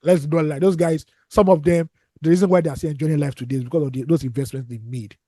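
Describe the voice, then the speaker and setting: A man's voice: on the high side, fairly even intonation, fast, mellow, no echo. A man, co-hosting a casual podcast, rambling and restarting, often trailing off to a mutter. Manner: discursive, restarts, drops to a mutter at phrase ends. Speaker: podcast co-host.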